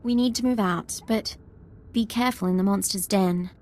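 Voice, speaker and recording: An actress playing a dutiful, earnest young military ensign in a video game, speaking directly. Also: female voice, medium pitch, normal speed, darkish tone, close to an everyday voice. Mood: concerned, businesslike.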